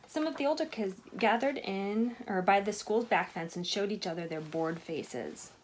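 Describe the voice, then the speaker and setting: A woman's voice: mid-range voice, normal speed. Woman reading aloud to kids, noisy background. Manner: animated, expressive storytelling with questions to listeners. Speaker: storyteller reading a picture book to children. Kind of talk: read-aloud narration with chatty asides to young listeners.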